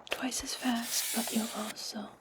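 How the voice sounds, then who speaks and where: Woman acting as a witch, breathy whisper, flat intonation, slow deliberate instructions, commanding, ASMR fantasy roleplay. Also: fairly high pitch, natural voice. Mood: calm, instructing.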